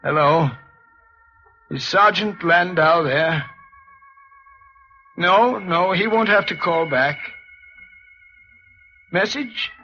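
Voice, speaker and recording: An actor playing a distraught, guilt-ridden murderous husband in a halting, anguished 1940s radio drama style. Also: male voice, high voice, pushed and bright, heavily acted. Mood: grim resignation. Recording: muffled old radio broadcast, music behind.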